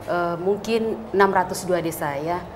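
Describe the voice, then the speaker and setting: A woman interview guest with a hoarse, fairly low voice. She feels her way through answers hesitantly, with clear noise behind her. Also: normal speed. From Gorontalo, Indonesia. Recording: clearly noisy background.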